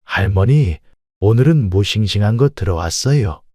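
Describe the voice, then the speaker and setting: A man's voice: on the low side, expressive, moderate pace, mellow, no room sound, clean studio sound. A man voicing a hearty, inviting market vendor and neighbor in an audio drama. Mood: friendly.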